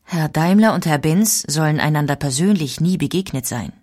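A woman reads scripted documentary narration. Her voice lies a little lower than usual for a woman. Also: animated, normal speed, darkish tone.

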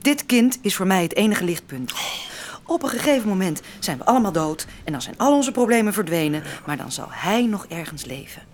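A woman playing a tender yet resolute young lover in a radio drama, with an earnest, expressive delivery. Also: mid-range voice, fairly quick, a little hiss or hum, close to an everyday voice. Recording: faint background ambience.

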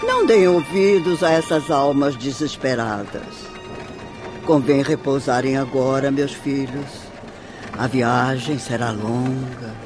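A man acting a gentle, pious caretaker of souls, soothing and measured, in a radio drama. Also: medium pitch, over music, lightly acted. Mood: soothing authority.

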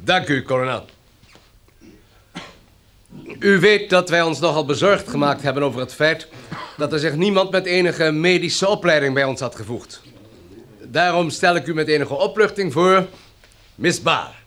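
Actor as a solemn, high-pitched male committee chairman. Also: fairly bright tone, lightly acted. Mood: courteous, formal. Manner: formal, measured, oratorical. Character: solemn, composed male chairman addressing survivors of a catastrophe. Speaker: actor in a radio drama. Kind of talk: radio drama acting, formal speech.

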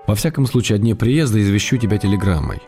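An actor playing a wry, affectionate playwright reading out letters to his sweetheart in a measured way for a radio play. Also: on the low side, lively intonation, mellow, soft background music. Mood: matter-of-fact, fond.

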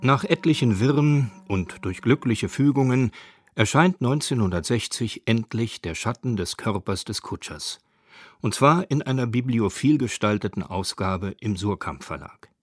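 A man's voice: mid-range voice, lively intonation, normal speed, no room sound. A man reading scripted narration for a radio documentary.

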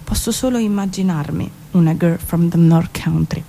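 A woman giving an expressive, measured theatrical spoken-word reading with dramatic pauses. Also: fairly low pitch, gravelly, slight background noise. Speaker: performer reading her own poetic prose. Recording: music behind the voice. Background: music.

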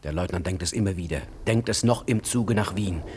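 A man narrating a literary radio drama with tense, deliberate phrasing. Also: low-pitched, noisy background.

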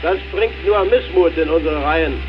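Dull, muffled sound with strong music carries a male actor as a stern field marshal in a radio play. His high voice declaims solemnly, exhorting the troops. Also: normal speed, natural voice. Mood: rousing, solemn. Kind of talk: radio drama proclamation.

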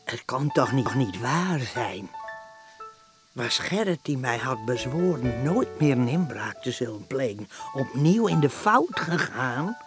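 An actor playing a dismayed, brooding narrator, telling the story in a melodramatic radio play style. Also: male voice, mid-range voice, big pitch swings, slow. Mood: troubled disbelief. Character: dismayed, brooding storyteller recalling a sleepless night. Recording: music under narration.

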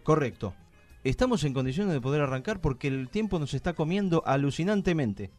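A man's voice: on the high side, animated, a little fast, a little mellow, soft background music. A man with an Argentine accent hosting a live radio show, chatting and joking with guests. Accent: Argentine Spanish. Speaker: radio host. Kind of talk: casual radio interview. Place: radio studio.

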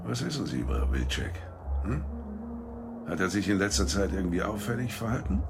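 Deep, gravelly, suave crime boss. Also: male voice, very animated, brisk. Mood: probing, polite. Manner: polite, condescending. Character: suave, menacing male gangland boss styled as Doctor. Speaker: actor in a radio drama. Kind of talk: German radio drama acting. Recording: music behind the voice.

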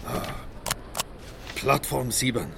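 An actor playing a curt German occupation corporal in a radio drama, barking clipped orders with a German accent. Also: male voice, fairly even intonation, vocal fry. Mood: curt, suspicious. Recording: noisy station with vehicle rumble.

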